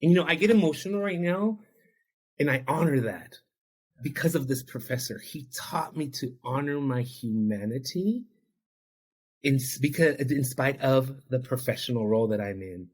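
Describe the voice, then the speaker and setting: A man whose first language is Spanish talks as a guest on a podcast about his own past. He is an addiction counselor, and he speaks in an earnest, reflective, heartfelt way. His voice sits at an ordinary middle pitch for a man. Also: animated, moderate pace, a little mellow, slightly rough. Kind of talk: podcast interview, personal storytelling.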